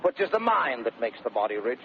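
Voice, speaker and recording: Brief: a wilful, mocking husband, declamatory and swooping, on a thin, noisy 1930s radio broadcast. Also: male voice, mid-range voice, sing-song, fairly quick, creaky. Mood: grandly cheerful. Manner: theatrical, declamatory, commanding. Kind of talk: 1930s radio Shakespeare acting. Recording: narrow, noisy vintage 1930s radio recording.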